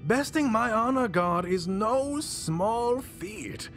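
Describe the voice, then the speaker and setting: An actor playing a flamboyant, ancient mastermind villain in a video game, pompous, grandiose and mock-polite with theatrical delivery. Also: male voice, high voice, animated, slow pace, fairly bright tone, faint music behind, heavily acted. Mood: smug delight.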